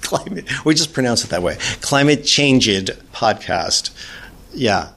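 A man's voice: mid-range voice, big pitch swings, slow, creaky, fairly bright tone. A man speaking as an interview guest, telling his story in an earnest, flowing way. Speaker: guest, podcast producer. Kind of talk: conversational interview answers.

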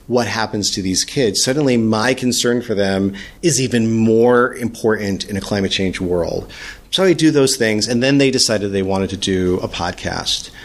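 A man's voice: lowish voice, normal speed. A man speaking as an interview guest, telling his story in an earnest, flowing way. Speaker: guest, podcast producer. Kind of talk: conversational interview answers.

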